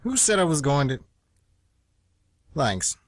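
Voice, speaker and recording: A man's voice: a little high, sing-song, slow, no echo, studio quality, heavily acted. A man playing an exasperated, heartbroken traveller in an amateur radio play, irritable, blunt and incredulous. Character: exasperated, heartbroken male traveller snapping at a stranger.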